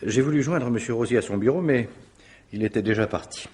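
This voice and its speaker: An actor playing a courteous, probing police officer in a French radio mystery drama, formal and politely insistent. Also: male voice, mid-range voice, brisk, very noisy, lightly acted.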